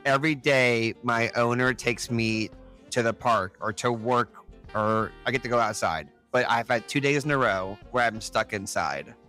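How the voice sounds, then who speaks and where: A man with a bright, forward voice and mid-range pitch hosts a casual podcast. He banters playfully and effusively, often joking with the people he talks to. Music plays faintly behind him. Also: normal speed. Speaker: podcast host.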